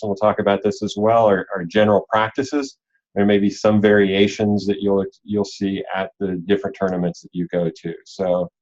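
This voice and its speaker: Low-voiced man leading an online briefing. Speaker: robotics league organizer and coach leading a call. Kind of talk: informal instructional walkthrough on a conference call. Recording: dull, lo-fi online call audio.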